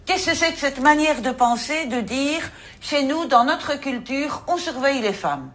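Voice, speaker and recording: A woman's voice: high voice, expressive, a little slow, fairly bright tone. A woman prosecutor, questioning a suspect firmly in her office. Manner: stern, firm, pointed questions.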